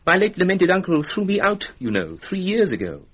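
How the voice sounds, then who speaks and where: Flippant, husky British aristocrat. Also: male voice, high-pitched, big pitch swings, a little fast, dull audio, full character voice. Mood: breezy, wry. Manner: breezy, sardonic. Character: flippant, louche young British aristocrat heir. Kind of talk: radio drama acting.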